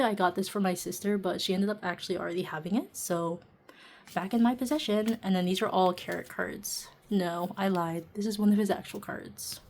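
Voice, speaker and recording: A woman vlogger chatting casually and a little quietly while sitting down at home, with lots of fillers and laughs. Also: mid-range voice. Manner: talking softly while seated. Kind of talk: casual chatty vlog narration. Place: indoors at home, seated.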